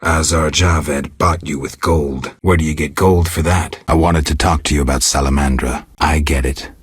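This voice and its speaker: Game lead: cynical monster-hunting mutant man, rock-bottom pitch, very gravelly, deadpan and curt. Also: normal speed, dry recording, full character voice. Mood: terse, deadpan. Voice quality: gravelly, husky. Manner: terse, deadpan, dry. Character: gruff, cynical mutant monster hunter, adult man, coolly sardonic. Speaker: video game protagonist. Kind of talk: video game character dialogue.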